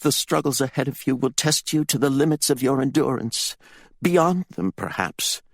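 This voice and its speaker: A man voicing a grieving sorceress mother who speaks softly and solemnly through tears. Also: medium pitch, expressive, normal speed, dry recording, studio quality, voice pushed up. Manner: soft, solemn, tearful. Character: grieving powerful sorceress mother, stern and tearful.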